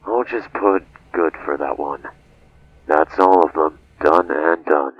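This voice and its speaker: A man playing a weary, introspective space freighter captain in a game fan dub, speaking in a measured, earnest way. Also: very deep voice, highs cut off, heavily acted. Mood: casual, probing. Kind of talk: dramatic game fandub dialogue.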